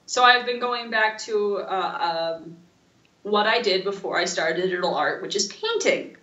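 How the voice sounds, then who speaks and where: A woman co-hosting a casual podcast, rambling through stories in an animated, informal way. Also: mid-range voice, unhurried, echoey room, muffled recording. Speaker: podcast co-host. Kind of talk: casual chat.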